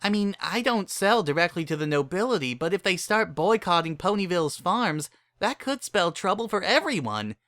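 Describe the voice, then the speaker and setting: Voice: mid-range voice, very animated, normal speed, few pauses, fairly bright tone, no room sound, studio quality, voice pushed up. A narrator voicing a practical, fretful female farm pony, plain-spoken and skeptical in manner.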